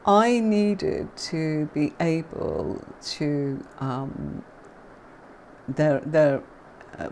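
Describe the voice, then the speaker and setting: A woman's voice: sits low, gravelly, a little mellow, a little hiss or hum. An English woman from Yorkshire hosting a tarot livestream, chatting with viewers and teaching card meanings, with many fillers and a neutral accent. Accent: neutral southern English, faint Yorkshire. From Yorkshire, England.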